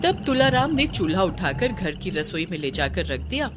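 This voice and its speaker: A woman's voice: fairly low pitch, big pitch swings, normal speed, background noise. A woman narrating a scripted children's story.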